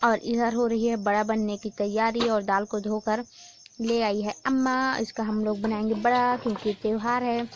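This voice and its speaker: A young woman from Chhattisgarh, hoarse from a sore throat, chatting casually to her viewers in a home vlog. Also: a little high, flat delivery, normal speed, lo-fi sound, slight background noise. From Chhattisgarh, India. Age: young adult. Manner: chatty, keeps addressing viewers as friends. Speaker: vlogger. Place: village home during a festival.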